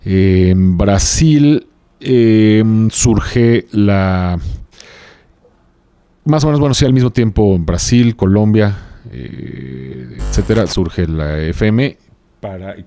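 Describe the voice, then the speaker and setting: A man with a low voice chats as a podcast host. He speaks in a halting, thinking-aloud way, checking in with short tag questions. The sound is dry, with hardly any room in it. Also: lively intonation, mellow, dry recording. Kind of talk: casual podcast conversation from notes.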